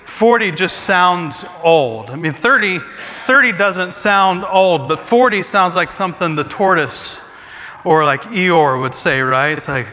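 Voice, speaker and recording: A high, bright male voice belongs to a pastor in his forties who jokes at his own expense in a chatty sermon. His words ring out with a long reverb, as in a large church sanctuary. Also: lively intonation, measured pace. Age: 40s. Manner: self-deprecating joking, chatty asides. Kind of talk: humorous sermon talk.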